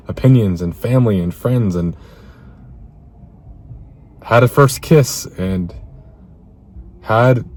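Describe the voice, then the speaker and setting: Earnest low-voiced man, slightly hoarse. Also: dark tone. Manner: earnest, reflective, halting with repeated words. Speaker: self-improvement vlogger. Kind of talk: reflective vlog talk and Bible reading aloud.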